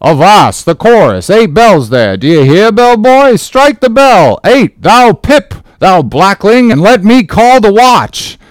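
A man's voice: high-pitched, very animated, takes it slowly, hardly any pauses, no room sound, pitched above the performer's own voice, heavily acted. A man voicing a bossy whaling sailor who bellows orders across the ship. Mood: bellowing. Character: loud bossy young whaling sailor calling the watch.

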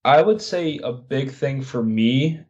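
A man's voice: mid-range voice, expressive, slow, a little mellow, lo-fi sound. A young man from Long Island, New York, talking casually as an interview guest. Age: young adult. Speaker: podcast guest, recent chiropractic graduate.

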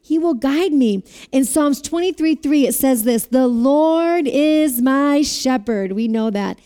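A woman preaching a sermon with a loud voice, asking listeners to repeat phrases after her. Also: high-pitched, expressive, takes it slowly. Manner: asks the audience to repeat phrases.

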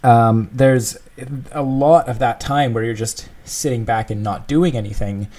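A man's voice: medium pitch, a little slow, dark tone. A man co-hosting a casual discussion, explaining his thoughts in a thoughtful, conversational way. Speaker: podcast co-host discussing game design.

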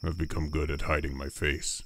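An actor playing a gruff, weary orc warrior in a fantasy audio drama, terse and dry with a gravelly, unhurried delivery. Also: male voice, very deep voice, level tone, a bit bright, a little hiss or hum. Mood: calm, dry.

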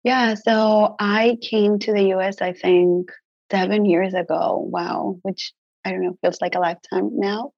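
A woman's voice: mid-range voice, fairly even intonation, unhurried, gravelly, a little mellow, no room sound, highs cut off. A young woman from Mexico, a native Spanish speaker, chatting casually as an interview guest with many "like" fillers and easy laughs. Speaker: guest herbalist.

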